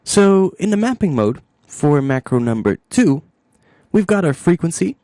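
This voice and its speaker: Man giving a lively, dry-sounding tutorial. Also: fairly high pitch, unhurried, no echo. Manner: informal, thinking aloud. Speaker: music production tutor. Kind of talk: software tutorial narration, explaining step by step.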